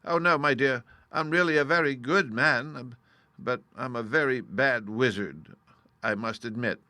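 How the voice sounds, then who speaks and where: A man voicing an old humbug wizard who gently confesses he is no real magician, speaking with a confiding, sheepish manner. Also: medium pitch, measured pace, no echo. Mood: confessing. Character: humble old male humbug wizard, apologetic.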